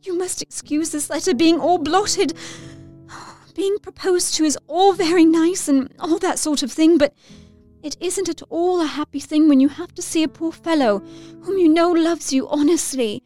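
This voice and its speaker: An actress playing an excitable, romantic Victorian girl of nineteen, reading her letter effusively in an audio drama. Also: very high voice, normal speed, soft background music. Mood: tearful pity. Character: excitable, romantic nineteen-year-old Victorian young lady.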